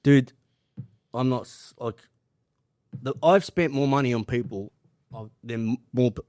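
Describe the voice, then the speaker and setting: A man's voice: mid-range voice, measured pace, a little mellow, dry recording. Male podcast host, casual storyteller, sweary and blunt, dry studio-close sound.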